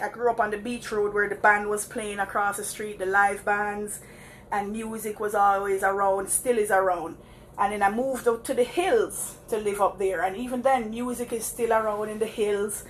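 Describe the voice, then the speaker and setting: A woman's voice: a little high, a bit bright, a little hiss or hum. A Jamaican woman chatting casually to her live viewers while walking around the block, laughing often and calling them guys. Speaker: vlogger.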